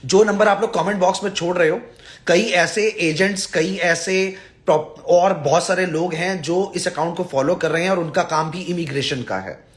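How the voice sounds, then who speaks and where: Man, high-pitched voice, live-stream host, exasperated, blunt, scolding, emphatic repeated pleas. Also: moderate pace. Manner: emphatic, repetitive pleading. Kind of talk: live social media address to followers.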